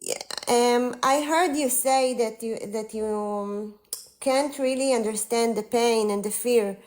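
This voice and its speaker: Israeli woman in her forties, slightly high voice, earnest guest on a phone call, pauses a lot. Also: darkish tone. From northern Israel. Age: 40s. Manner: earnest, halting, many fillers. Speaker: guest on a livestream. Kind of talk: casual conversation.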